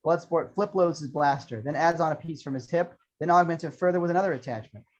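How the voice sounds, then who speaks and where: A man's voice: on the high side, fast talker. A man reading screenplay action lines as scripted narration at an amateur table read. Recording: dull lo-fi sound.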